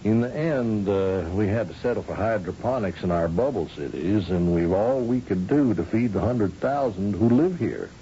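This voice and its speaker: A muffled old radio drama recording with faint noise carries a man acting as a genial old frontier governor of a space colony. He is wry and folksy, rambling along in a dark, mellow voice like a cowboy. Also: on the low side, expressive, moderate pace, hardly any pauses, natural voice.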